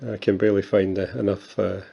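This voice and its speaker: A man's voice: on the low side, monotone, fast talker, creaky, mellow, muffled recording. A Scottish man in his fifties, chatting casually and with self-mocking humour while showing his coin collection, with plenty of fillers and restarts. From Scotland. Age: 50s. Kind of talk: casual show-and-tell vlog.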